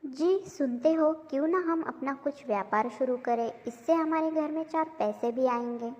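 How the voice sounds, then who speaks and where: A woman's voice: high voice, moderate pace, a little mellow, lightly acted. A woman playing eager, agreeable village family members, wife and daughter, in a cartoon moral story. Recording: dull, lo-fi sound with long reverb.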